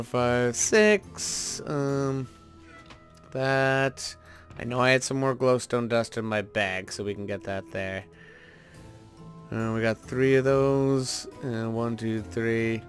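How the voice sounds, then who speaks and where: A man hosts a gaming video with music playing behind him. He talks casually in a mid-pitched voice, thinking aloud. His delivery is tentative and step-by-step, as if working things out while he plays.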